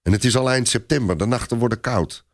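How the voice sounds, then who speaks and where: A man's voice: medium pitch, fast talker, no room sound, studio quality, voice pushed up, close to an everyday voice. A man voicing a worried, discontented but loyal medieval herald in a radio story. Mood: discontented grumbling. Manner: grumbling.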